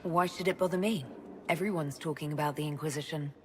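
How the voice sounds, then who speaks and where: A woman's voice: low voice, fast talker, a little hiss or hum, lightly acted. A woman playing a composed, direct heroine and leader in a fantasy video game. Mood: calm. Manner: plain-spoken, direct. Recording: music behind the voice.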